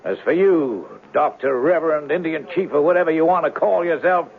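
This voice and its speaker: An actor playing an exasperated, sarcastic desk sergeant with gruff, slangy talk, in 1970s radio drama. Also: male voice, a little high, fairly quick. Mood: weary irritation. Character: exasperated, wisecracking male police desk sergeant. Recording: narrow-band old radio broadcast.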